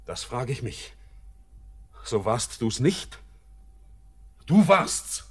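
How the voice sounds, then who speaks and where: A young actor playing an orphaned sailor, torn between pleading and dread, in a classic radio play. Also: male voice, mid-range voice, very animated, drawn-out words, breathy edge, full character voice. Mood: anxious.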